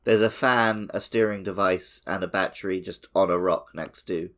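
A man's voice: sits low, steady tone, moderate pace, a bit bright. A man co-hosting a podcast, chatting casually and conversationally about a video game. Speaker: podcast co-host.

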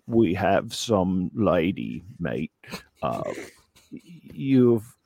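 A man giving a comic mock lecture in a put-on Australian accent, hesitant and deadpan. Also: deep voice, vocal fry, no echo. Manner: putting on a joke Australian accent. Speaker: comic presenter giving a slideshow talk. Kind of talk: mock lecture, improvised comedy presentation.

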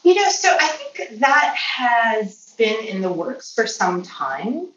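A woman who grew up speaking Polish, answering interview questions as a healthcare professional in a measured, explanatory way. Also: fairly high pitch, sing-song, fairly bright tone, dull audio. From Poland or Polish-speaking family. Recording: roomy, distant mic, faint noise.

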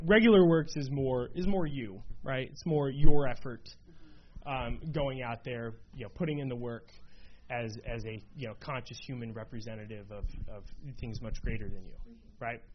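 A man leading a Bible study in a conversational way, often checking in with his listeners. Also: medium pitch. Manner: conversational, many fillers and "right?" checks. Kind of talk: casual teaching to a small group. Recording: dull lo-fi room recording, faint noise.

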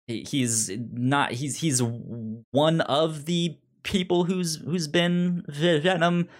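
A man's voice: on the high side, slow. A man co-hosting a casual podcast discussion, stammering and repeating syllables as he works through his thoughts. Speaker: pop culture podcast co-host.

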